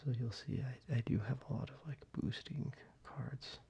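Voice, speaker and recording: A man speaking softly, mostly in a whisper, casually showing cards for an ASMR video, with fillers and small pauses. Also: medium pitch, steady tone, creaky. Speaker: ASMR creator. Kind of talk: soft-spoken ASMR card showcase.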